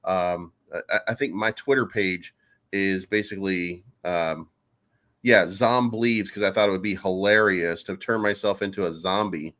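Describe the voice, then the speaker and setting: Low-voiced joking male host. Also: unhurried, fairly bright tone. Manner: joking, loose and rambling. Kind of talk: casual livestream chat.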